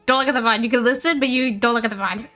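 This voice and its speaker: Female game streamer, bright higher voice, chatty and playful, faint music behind. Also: racing. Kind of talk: casual live-stream chat.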